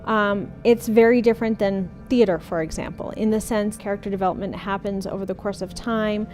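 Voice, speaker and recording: A woman's voice: medium pitch, steady tone, fairly quick, a little mellow, soft background music. A woman speaking hesitantly and thoughtfully in a documentary interview about her acting work. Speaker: amateur voice actor.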